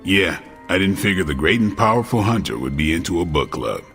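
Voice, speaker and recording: A man playing a gruff, guarded warrior who is earnest about books, in a terse, dry video game cutscene style. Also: low-pitched, expressive, fairly quick, a bit bright, soft background music. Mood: casual, guarded.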